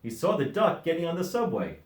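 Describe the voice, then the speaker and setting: A middle-aged man reading a children's storybook aloud as an expressive narrator. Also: on the high side, a little fast, reverberant. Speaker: volunteer story reader.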